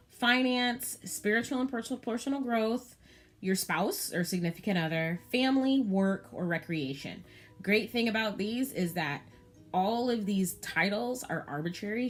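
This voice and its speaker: Low-voiced woman in her thirties, candid. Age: 30s. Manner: candid, chatty, frequent "um" and "you know". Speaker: planner vlogger. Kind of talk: casual chat to camera.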